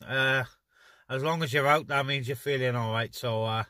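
Guy doing casual cb radio chat from his car, sharp bright voice, pretty dry sound. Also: medium pitch, fairly even intonation, moderate pace, no room sound. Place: inside a parked car on open moorland.

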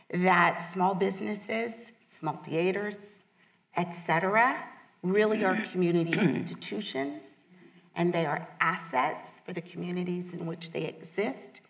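A woman from California speaking on a theater stage panel, steady and earnest, with some fillers. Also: mid-range voice, vocal fry, recorded from a distance, low-quality recording. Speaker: state small business official, panelist. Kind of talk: panel talk.

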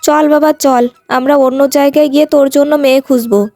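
A woman's voice: high-pitched, fairly even intonation, fairly quick, warm tone, no room sound, soft background music, lightly acted. A woman voicing a choosy, forthright middle-aged mother of a groom in a cartoon tale. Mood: disapproving.

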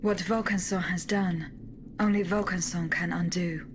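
A woman's voice: lowish voice, steady tone, background noise, natural voice. Woman acting a poised, formal clockwork huntress. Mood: cool, resolute. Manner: formal, measured, terse. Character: poised, resolute female clockwork automaton huntress. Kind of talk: video game cutscene acting.

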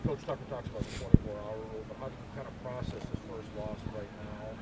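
A man's voice: lowish voice, fairly even intonation, fast, heavy background noise. A man, a sports reporter, asking long questions at a press scrum.

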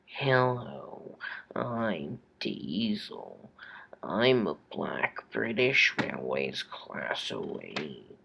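A man voicing a sinister diesel engine from a children's cartoon, with a drawn-out growl. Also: low-pitched, big pitch swings, creaky, pushed and bright, breathy edge, voice pushed down, full character voice. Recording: dull lo-fi home recording.

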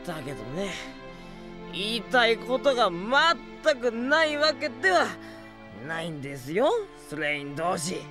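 Drunk young wizard, high n swoopy, slurring and rambling, anime radio drama. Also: male voice, very animated, a little slow, over music. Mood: complaining, drunk. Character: young male sorcerer, tipsy, talkative and pushy. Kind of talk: anime audio drama acting.

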